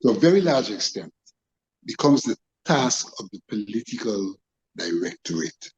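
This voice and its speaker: A man with a Guyanese accent speaking as a panel guest, in formal, deliberate phrasing during a political discussion. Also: on the low side, very animated, a little mellow, lo-fi sound.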